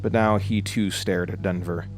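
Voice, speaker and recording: Low-voiced man narrating horror over music. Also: fairly even intonation, moderate pace. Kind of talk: scripted horror audio drama narration. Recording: prominent music behind.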